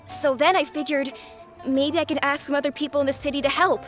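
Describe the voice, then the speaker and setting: A worried young village boy, earnest and polite in a video game. His voice sits high. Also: fairly even intonation, fairly quick, a bit bright, soft background music. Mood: anxious.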